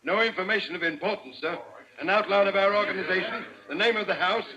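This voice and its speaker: A man's voice: sits high, fast talker, lo-fi sound, a crowd in the background, lightly acted. An actor playing a dutiful, dismissive gang henchman in an old-time radio drama, clipped and insistent, on a vintage recording.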